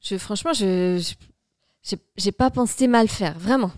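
A woman playing a defensive, unrepentant flatmate in an improvised radio comedy sketch, protesting and justifying herself in hesitant bursts. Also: medium pitch, unhurried, dry recording, studio quality, lightly acted. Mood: sheepish, defensive.

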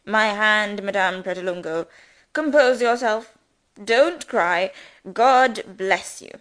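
A woman's voice: mid-range voice, slow pace, a bit bright. A woman reading the part of a pompous, sermonizing male country rector who loves grand words. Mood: grandiloquent.

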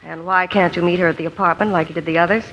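A woman's voice: on the low side, fairly even intonation, rapid-fire, noisy background, natural voice. An actress playing a jealous, pushy woman swindling for a cult, in 1950s radio drama style, on a dull old broadcast recording. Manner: sharp, needling, then sugary persuasion.

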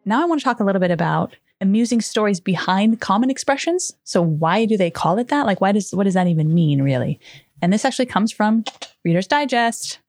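A woman's voice: medium pitch, expressive, fairly quick, darkish tone, no room sound, clean studio sound, soft background music. A woman co-hosting a podcast, telling stories in a casual, chatty way and acting out what people said. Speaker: podcast co-host. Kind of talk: casual conversational storytelling.

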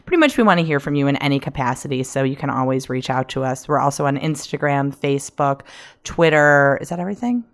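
A woman's voice: rumbling low, fast. A woman co-hosting a comedy podcast in casual banter, with a teasing, blunt manner. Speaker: podcast co-host.

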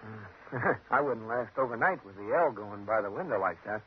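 An actor playing a guarded, secretly murderous tough guy in a 1950s radio drama, talking in a terse, halting, evasive way. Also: lowish voice, expressive, natural voice. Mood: uneasy. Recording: muffled, noisy old radio recording.

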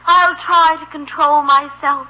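A woman's voice: high voice, fairly even intonation, slow pace, pushed and bright, heavily acted. A performer voicing a feisty, melodramatic little American girl in a 1950s radio sitcom, whiny and dramatic, female. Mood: defiant, melodramatic. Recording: noisy, muffled old radio broadcast.

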